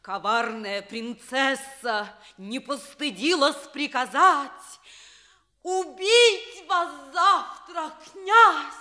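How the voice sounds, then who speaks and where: An actress playing a passionate, scheming captive princess in a theatrical, declamatory radio drama style. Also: female voice, high-pitched, pushed and bright, heavily acted. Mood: accusing, dramatic. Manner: declamatory, breathy stage whispers swelling to outcries. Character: enslaved khan's daughter, passionate, scheming, lovelorn princess.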